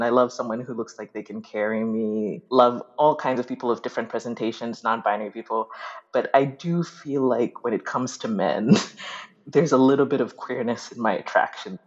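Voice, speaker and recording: A young woman from Uganda, speaking thoughtfully as a guest in a candid interview, often laughing mid-sentence. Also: a bass voice. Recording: dull lo-fi audio.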